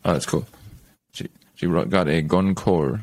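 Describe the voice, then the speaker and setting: Low-voiced, enthusiastic male podcast host. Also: a little mellow. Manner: enthusiastic, quick to praise, informal. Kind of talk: casual interview.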